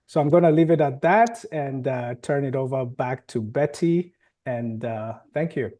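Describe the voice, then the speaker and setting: A man presents to a webinar audience over a video call. His voice is warm and mellow, and he explains things in a measured, steady way. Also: medium pitch. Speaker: webinar guest speaker.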